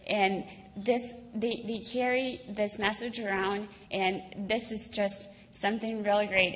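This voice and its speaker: A woman of about thirty with a Ukrainian accent gives a personal speech as a guest at a dinner event, earnest and halting, repeating words as she goes. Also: mid-range voice, a bit bright. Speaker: guest speaker, school alumna. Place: large indoor event hall. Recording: noisy, reverberant hall recording.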